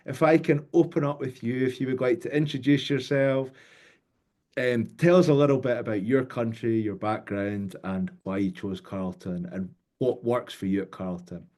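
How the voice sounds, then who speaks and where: A man's voice: mid-range voice, normal speed, a little mellow. A man hosting a webinar panel over a video call, introducing students and prompting them with structured questions. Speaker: webinar moderator.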